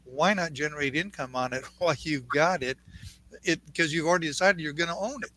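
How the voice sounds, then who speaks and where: A man on a live panel lays out his point with emphasis and repeats himself as he goes. His voice is bright and forceful and sits a little high. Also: fairly quick, slight background noise, low-quality recording. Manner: emphatic, repeats words as he explains. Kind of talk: explanatory panel talk.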